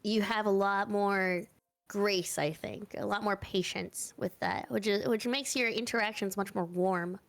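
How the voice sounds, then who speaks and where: A woman's voice: mid-range voice, steady tone, measured pace, creaky. A young woman, a streamer guest, talking thoughtfully and supportively in a casual chat. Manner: thoughtful, supportive, many fillers.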